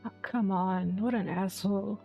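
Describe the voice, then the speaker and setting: A woman's voice: medium pitch, steady tone, moderate pace, gravelly, lo-fi sound. A woman streamer giving casual, sceptical commentary on a game, sardonic and free with swearing. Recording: game music behind the voice.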